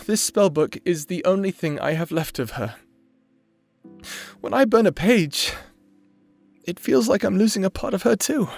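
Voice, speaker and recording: A man's voice: high voice, animated, moderate pace, vocal fry, long pauses, darkish tone, soft background music, exaggerated voice. A man voicing a nervous, bookish young mage who stammers and speaks with hesitant earnestness in a fantasy audio drama. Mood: sad, apologetic. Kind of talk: fantasy audio roleplay acting.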